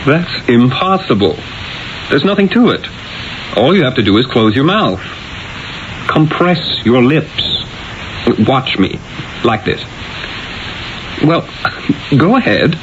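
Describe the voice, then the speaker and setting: An actor playing an urbane, exasperated Renaissance painter in a 1970s radio drama, with a theatrical, emphatic, probing manner. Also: male voice, mid-range voice, very animated, takes it slowly, pauses often. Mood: baffled, exasperated. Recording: dull, hissy old radio broadcast.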